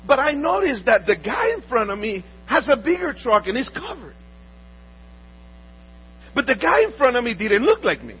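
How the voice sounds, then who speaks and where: A man's voice: extremely high pitch, sing-song, normal speed, long pauses, breathy edge. A man from Honduras earnestly telling personal stories, often repeating words and restarting as he talks. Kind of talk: storytelling talk. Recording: dull audio with faint mains hum.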